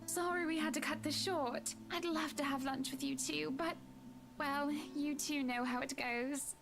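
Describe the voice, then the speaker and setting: High-pitched gracious society lady played by a woman. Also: steady tone, a little slow, gravelly, over music. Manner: polite, affectionate, genteel. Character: gracious wealthy married woman, sweetly bossy socialite. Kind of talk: visual novel voice acting.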